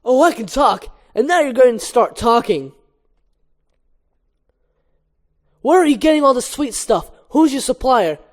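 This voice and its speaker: A woman's voice: medium pitch, lively intonation, normal speed, darkish tone, pitched above the performer's own voice, close to an everyday voice. A woman voicing a small, feisty male cartoon bee, declamatory and confrontational. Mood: accusing. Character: small, feisty, accusing male honeybee, bold hero. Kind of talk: animated film script read-through.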